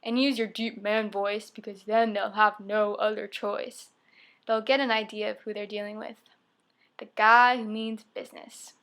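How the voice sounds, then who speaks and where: A woman's voice: medium pitch, level tone, moderate pace, a bit bright. A young woman performing a spoken-word poem, reciting expressively in short, emphatic phrases. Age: young adult. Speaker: poet. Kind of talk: spoken-word poetry reading.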